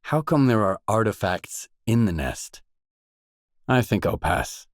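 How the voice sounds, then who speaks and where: A man's voice: lowish voice, very animated, unhurried, no echo, clean studio sound. An actor playing a wary, pragmatic male loner stalker in a video game, speaking in a terse, blunt, matter-of-fact way. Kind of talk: game dialogue voice lines.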